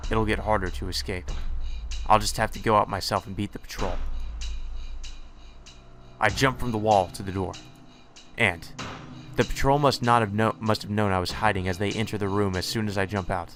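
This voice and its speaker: A man's voice: on the low side, fairly even intonation, moderate pace, long pauses, fairly bright tone, faint music behind. A man reading visual novel text aloud with casual commentary, sometimes stumbling over words and correcting himself.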